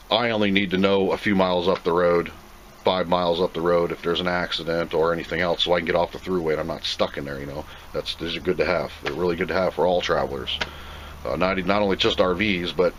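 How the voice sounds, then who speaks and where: Low voiced guy doing a casual unboxing, rambles and thinks out loud, noise behind him. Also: low-quality recording. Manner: rambling, plain-spoken, thinking aloud. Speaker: video host reviewing a product. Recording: clear background noise.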